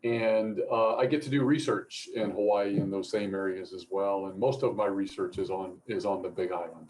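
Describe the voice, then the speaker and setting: A middle-aged man from Appalachia speaking as a professor on a panel, explaining things in a measured way. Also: on the low side, steady tone, a little mellow, rough recording. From Appalachia, mountains of North Carolina and Virginia. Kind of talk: informal panel talk and explanation.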